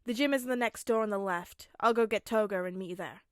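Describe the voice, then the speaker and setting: A woman's voice: mid-range voice, fast, no echo, studio quality, lightly acted. A woman voicing an easygoing male weapons dealer with a wry, casual way of talking. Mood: wry, businesslike.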